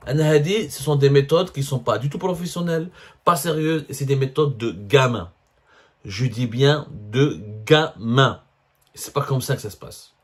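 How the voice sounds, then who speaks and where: A man's voice: mid-range voice, lively intonation, unhurried, slightly rough. A man with an Algerian accent giving opinionated political commentary to viewers, speaking emphatically and repeating phrases for stress. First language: French. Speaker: host of a political commentary show.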